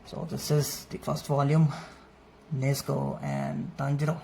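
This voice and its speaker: A man whose first language is not English, casually showing his manga collection in an unhurried, friendly way and dropping Japanese terms into his English. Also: medium pitch, completely flat delivery, gravelly, darkish tone. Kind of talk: casual show-and-tell overview. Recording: faint noisy room ambience. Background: faint background noise.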